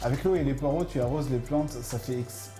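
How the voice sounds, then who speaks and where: A man's voice: mid-range voice, fairly quick. A man hosting a live cooking stream, joking with the cook and reading viewer comments aloud in a playful, laughing way. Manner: playful, jokey, laughs often, talks to viewers. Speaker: livestream cooking show host. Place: home kitchen. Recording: roomy kitchen sound with background music.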